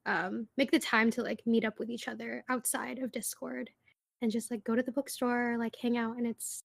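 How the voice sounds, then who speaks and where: A young woman in her twenties chats loosely on a podcast, her voice sitting a little higher than most. She talks casually, full of "like" fillers, and laughs easily between thoughts. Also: flat delivery, normal speed, creaky. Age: 20s. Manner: chatty, many "like" fillers, laughs often. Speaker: podcast co-host. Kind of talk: casual chat.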